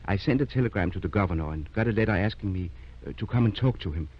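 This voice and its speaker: Kindly older country doctor, low husky voice, measured, earnest, vintage radio drama, dull recording. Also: male voice, lively intonation. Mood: earnest, pleading. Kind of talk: old-time radio drama acting.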